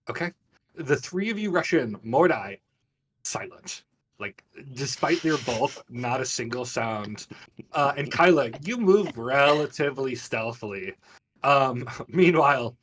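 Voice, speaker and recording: A man's voice: mid-range voice, fairly bright tone, a little hiss or hum. A man runs a tabletop fantasy game as its narrator. He describes scenes richly and theatrically. The microphone sounds some distance from him. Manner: descriptive storytelling with dramatic detail. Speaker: game master. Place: live online game stream.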